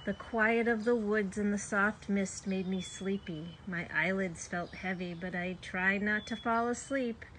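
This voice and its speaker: A woman's voice: mid-range voice, measured pace, hardly any pauses, fairly bright tone, a little hiss or hum, rough recording. A woman reading a children's story aloud expressively, drawing out words for young listeners.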